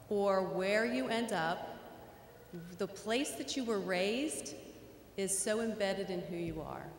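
A middle-aged woman with a Philadelphia accent, telling a story in an acceptance speech into a podium microphone in a large school auditorium. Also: mid-range voice. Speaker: award inductee. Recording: echoey hall, faint room noise.

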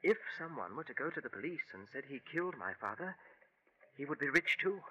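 A tense, scheming young fellow. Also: male voice, fairly high pitch, big pitch swings, a little fast, sharp tone, breathy edge, lo-fi sound, heavily acted. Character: scheming, anxious young man who killed his father. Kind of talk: 1950s radio drama acting. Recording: vintage 1950s radio recording.

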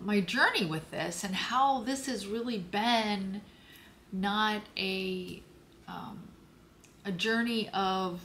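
A hoarse older woman speaking slowly and haltingly to the camera from her home office, telling a personal health story to her viewers. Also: medium pitch, fairly bright tone, a little hiss or hum. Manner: slow, halting, searching for words. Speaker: lifestyle vlogger.

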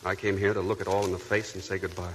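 A troubled, remorseful young husband, as an actor plays him in an old 1940s radio play, his voice low and earnest. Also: flat delivery, quick pace, crowd noise, lightly acted.

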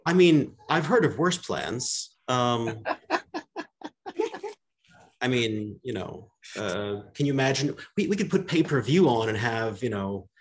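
A man in his thirties answering questions on a video call from an indoor room, relaxed, with lots of ums and dry humor. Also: mid-range voice, a little slow, slight background noise. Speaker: guest speaker. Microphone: webcam on a video call.

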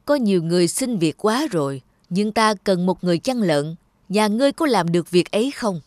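A woman's voice: medium pitch, expressive, a little fast, darkish tone, no echo, clean studio sound, close to an everyday voice. A woman voicing an indulgent old emperor and father in a cartoon fairy tale, with an authoritative manner. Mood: commanding.

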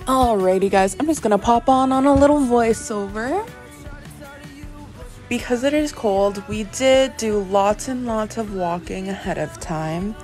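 Brief: young female vlogger, self-mocking and upbeat, mellow tone, light music under the voice. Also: fairly high pitch, unhurried. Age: young adult. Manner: chatty, self-deprecating, upbeat. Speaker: equestrian vlogger. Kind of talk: casual vlog chat and voiceover. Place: indoor riding arena and barn, some outdoors.

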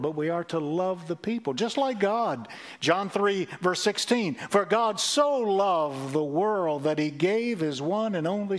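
Man, 60s, senior pastor, earnest sermon voice on a headset mic, a touch high-pitched. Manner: earnest preaching with wide gestures and repeated phrases. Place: church stage with a lectern.

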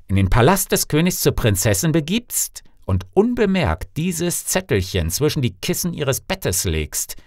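A man's voice: on the high side, sing-song, measured pace, hardly any pauses, a little mellow, dry recording, clean studio sound, voice pushed up. Man doing a sly, wheedling fairy-tale sorcerer. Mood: sly, coaxing. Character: scheming, menacing male sorcerer bargaining slyly.

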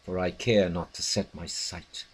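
A man's voice: low voice, steady tone, a little slow, breathy edge, rough recording. A man playing a lovestruck young widowed noblewoman in a theatrical, declamatory Shakespearean soliloquy, yearning and poetic. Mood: rapturous longing. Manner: declamatory, archaic, romantic delivery.

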